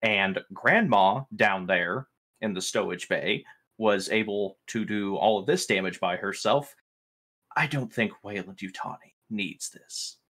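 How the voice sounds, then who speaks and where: A man's voice: fairly low pitch, a little slow, a bit bright. A man improvising in-character roleplay dialogue as a firm, wary spaceship captain in a tabletop game.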